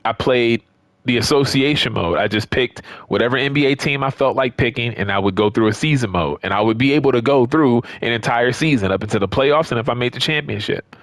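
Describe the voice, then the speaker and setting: Man, American accent, medium pitch, casual unscripted gamer commentary, conversational, emphatic repetition, dry room-free sound. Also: a little fast, no echo. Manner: conversational, emphatic, repeats points for stress. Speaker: gaming video creator. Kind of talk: casual unscripted opinion talk.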